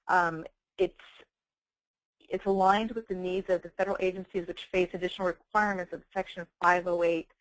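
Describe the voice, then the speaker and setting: A woman with a slightly low voice presents a product in a webinar. She explains things in a careful, measured, professional manner. The sound is dry, with very little room echo. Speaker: webinar presenter, software company representative.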